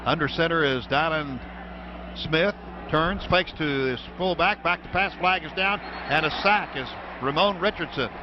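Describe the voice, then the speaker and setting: A man's voice: a little high, a little slow. An American man calling live football play-by-play on the radio from a stadium booth. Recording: dull, noisy old radio broadcast.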